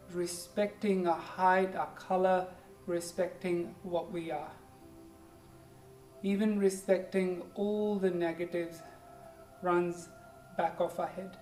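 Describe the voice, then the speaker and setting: A yoga instructor in their forties from India, with an Indian accent and English as a second language, guiding a class with gentle, encouraging cues. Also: medium pitch. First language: Hindi or Punjabi. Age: 40s. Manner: gentle, encouraging, keeps saying "good", simple repeated cues. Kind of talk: guided yoga class with pep talk. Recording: echoey room, distant mic, faint music.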